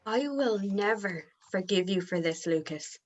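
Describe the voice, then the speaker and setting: A woman in an informal table read playing an excitable, gushing, flirtatious female band member. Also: medium pitch, unhurried. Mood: aggrieved.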